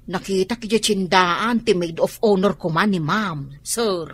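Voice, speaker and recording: A woman's voice: mid-range voice, measured pace, a bit bright, breathy edge. An actress playing a dutiful young housemaid who speaks respectfully to her employer. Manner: respectful, says sir. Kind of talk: Ilocano radio drama acting.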